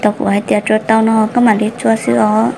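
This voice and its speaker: A woman's voice: fairly high pitch, level tone, quick pace, creaky, background noise. A woman voicing an adult woman in a folk-tale cartoon, in plain conversational speech. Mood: talking. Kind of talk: cartoon voice acting. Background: music.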